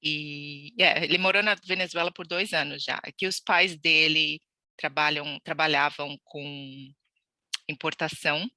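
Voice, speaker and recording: A woman's voice: low-pitched, steady tone, unhurried, bright, forceful tone, no echo. A woman from Brazil, a podcast guest telling her immigration story in a chatty, laughing way with many restarts. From Brazil (Santos). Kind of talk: casual interview chat.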